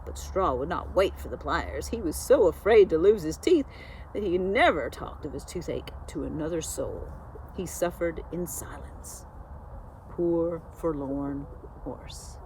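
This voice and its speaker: A woman reads a storybook to children. She takes her time, with dramatic pauses and a lively, wide-ranging pitch. There is slight background noise. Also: rough recording. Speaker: school media specialist reading aloud. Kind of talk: children's storybook read-aloud.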